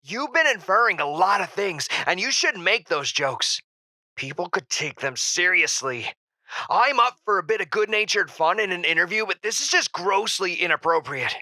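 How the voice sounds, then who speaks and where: A man's voice: sits high, lively intonation, moderate pace, pushed and bright, no room sound, full character voice. An actor playing an indignant, flustered male celebrity guest in a radio drama, stammering as he talks.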